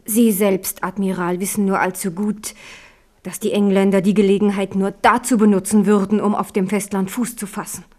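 A devout, resolute queen, played by a woman in a 1970s radio drama. Her mid-pitched voice is hushed and grave, and she speaks with quiet firmness. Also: little pitch movement, moderate pace, natural voice.

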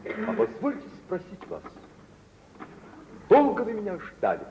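Theatrical, swooping-voiced suave gentleman. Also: sits high, wildly swooping pitch, a little slow, heavily acted. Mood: probing. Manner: theatrical, declamatory. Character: suave, proud gentleman, a reproachful former lover. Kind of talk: 1950s Russian radio theatre acting. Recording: muffled, noisy 1950s radio play recording.

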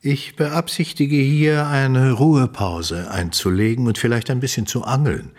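An actor playing a composed, discreet magistrate of imperial China in a German radio drama, speaking in a measured, formal, reserved manner. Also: male voice, medium pitch, darkish tone, slight background noise, lightly acted.

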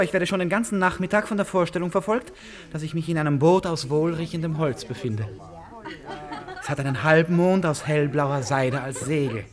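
An actor playing an eccentric, courteous gentleman who tells things dreamily and effusively in a German radio play. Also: a little high, lightly acted. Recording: studio radio play with crowd behind.

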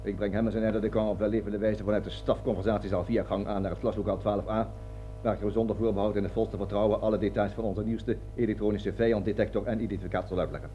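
An actor playing a pompous army colonel in a radio play, servile to superiors and explosive with subordinates, speaking long-winded military jargon. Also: male voice, fairly low pitch, level tone, fast, dark tone, highs cut off, faint music behind, close to an everyday voice. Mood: officious, deferential. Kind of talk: radio drama acting.

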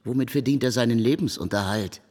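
An actor playing a snobbish, inquisitive middle-aged gentleman in a German radio play, with a haughty, probing manner. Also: medium pitch, fairly quick, in a large hall, close to an everyday voice. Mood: haughty, suspicious.

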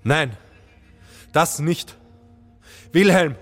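A man playing a troubled, hesitant camera assistant in a radio drama, with a halting delivery. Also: on the high side, animated, fairly bright tone, full character voice. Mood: distressed. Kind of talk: German radio drama acting. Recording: music behind the voice.

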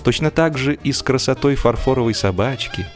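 A man narrating a humorous literary story in a theatrical, expressive way, with deliberate pauses and stretched words for emphasis. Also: medium pitch, faint music behind. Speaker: audiobook narrator and actor.

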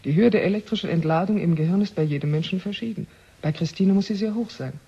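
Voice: mid-range voice, quick pace, dark tone, slightly rough. An actor playing a proud, territorial scientist in a 1970 German radio play, matter-of-fact and self-assured. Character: proud, territorial scientist defending lab-made life. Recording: muffled old radio recording.

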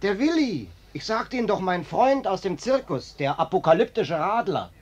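An actor playing a cheeky, mock-courteous ex-circus arsonist in a German radio play, with a breezy manner. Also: male voice, high-pitched, big pitch swings, normal speed.

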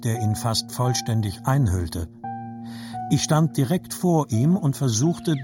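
A man narrating a scripted literary story in a measured, reflective manner. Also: mid-range voice.

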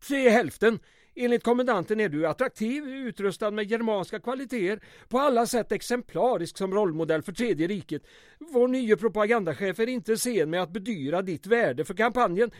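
A man's voice: sits high, animated, no echo, studio quality. An actor playing a nervous, placating man with a hesitant, dryly ironic manner in an old-time radio comedy drama. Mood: defensive, placating. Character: nervous, placating, wryly weary male go-between.

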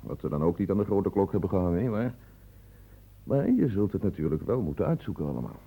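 Very deep, grim doctor. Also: male voice, big pitch swings, fast talker, warm tone, slightly rough, heavily acted. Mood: grim, impatient. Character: grim, impatient male hospital doctor reporting on a dying patient. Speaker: actor in a radio play. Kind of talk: Dutch radio drama acting.

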